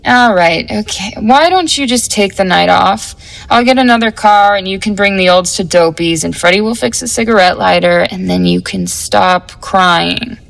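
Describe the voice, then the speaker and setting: A cool, scheming teenage girl from a 1950s audio drama. Her medium-pitched voice is heavy with creak. She delivers her slang in an offhand, sardonic and self-assured way. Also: moderate pace, a bit bright, rough recording. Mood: dismissive.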